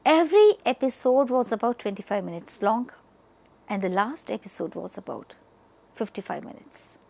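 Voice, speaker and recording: A woman with an Indian accent presents an educational lecture. Her voice sits a little high and moves with very animated intonation. She stresses key words and speaks straight to the listener like a teacher. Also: normal speed, vocal fry. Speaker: media educator presenting a lesson. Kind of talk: lecture-style explanatory narration.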